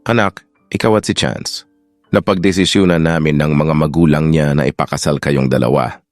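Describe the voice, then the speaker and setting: A man's voice: rumbling low, steady tone, moderate pace, a little mellow, dry recording, faint music behind. A man acting serious, subdued male roles in a Tagalog romance audio drama. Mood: grave, gentle.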